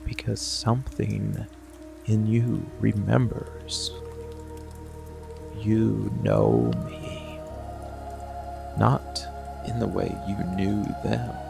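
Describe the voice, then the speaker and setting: Casting: male actor for monster boyfriend ASMR, dark, possessive, coaxing; deep fry-laden murmur and whispers, music and rain underneath. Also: heavily acted. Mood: possessive, intimate. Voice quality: gravelly, breathy, often dropping to whisper. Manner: slow, deliberate, coaxing, with long pauses. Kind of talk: intimate roleplay ASMR, slow monologue.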